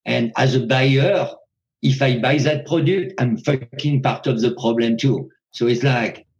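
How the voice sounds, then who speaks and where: Gravelly, emphatic man. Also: medium pitch, a little slow, darkish tone, highs cut off. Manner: emphatic, swears freely, repeats words. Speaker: cannabis grower. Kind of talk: passionate interview talk.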